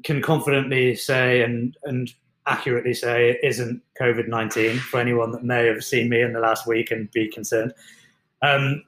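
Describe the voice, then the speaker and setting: A man, a fitness coach, talks through mindset in an earnest, reflective way. His voice sits at an ordinary middle pitch. The sound bounces around an echoey room. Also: a bit bright. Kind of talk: motivational talk on mindset.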